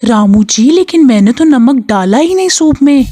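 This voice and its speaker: A woman playing a gentle, devoted village wife in a moral story. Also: high voice, lively intonation, normal speed. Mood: puzzled. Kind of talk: moral story character dialogue.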